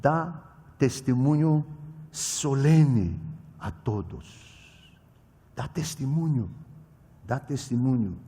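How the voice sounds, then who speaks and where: An older male pastor, not a native Portuguese speaker, preaching a sermon with a personal story, swinging between loud proclamation and quiet storytelling. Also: mid-range voice, slow, long pauses, husky. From immigrant to Brazil. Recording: reverberant hall.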